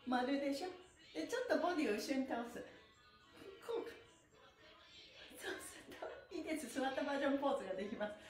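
Casting: woman exercise instructor, very high lively voice, encouraging and bubbly, livestream feel. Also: quick pace, pauses often, faint music behind. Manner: exuberant, encouraging, giggly. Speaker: stretching instructor and pantomime performer. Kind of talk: livestreamed exercise instruction, cheerful chanting and chat. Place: small bare indoor studio room. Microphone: camera or phone a short distance away. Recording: roomy indoor echo.

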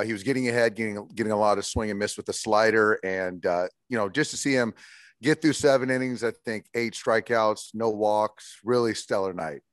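Man, forties, team manager at a postgame presser, low voice, dry close sound, measured and halting. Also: no room sound. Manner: measured, many fillers and restarts. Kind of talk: postgame press conference answers. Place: indoors in front of a logo backdrop.

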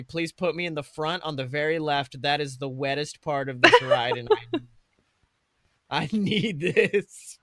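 A man co-hosting a podcast in casual comedic chat, animated and profane, often acting out imagined quotes. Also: on the high side, no echo, clean studio sound. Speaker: podcast co-host.

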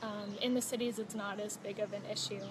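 A woman in her thirties chatting casually on a phone livestream outdoors, relaxed and informative with fillers and small laughs. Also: mid-range voice, a little fast. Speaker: travel vlogger. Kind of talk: casual live chat. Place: outdoors at a home stay patio. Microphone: phone held close. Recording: outdoor noise behind.

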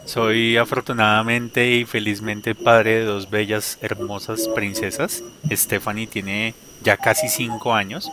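A man in his thirties, a guest sharing his faith story on a video call through a headset, talking warmly with frequent laughs and fillers. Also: on the low side, level tone, unhurried, hardly any pauses, a little hiss or hum. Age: 30s. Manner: warm, laughs often, hesitant fillers. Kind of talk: personal testimony in an interview.

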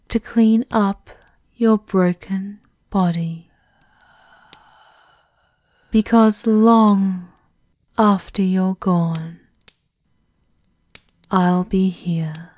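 A woman playing a devoted, menacing servant of a vampire countess, formal and softly sinister in an ASMR roleplay, often in a half-whisper. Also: mid-range voice, no room sound, clean studio sound.

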